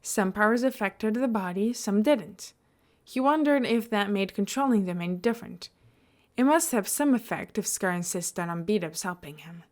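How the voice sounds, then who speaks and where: A woman's voice: mid-range voice, animated, moderate pace. A woman narrating a piece of scripted fiction as an audiobook-style reader. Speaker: podfic reader.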